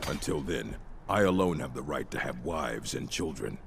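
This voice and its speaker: An actor playing a proud, stern orc chieftain in a fantasy video game, with a gravelly voice and a solemn, authoritative delivery. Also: male voice, animated, normal speed, background noise, exaggerated voice. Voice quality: very deep, gravelly.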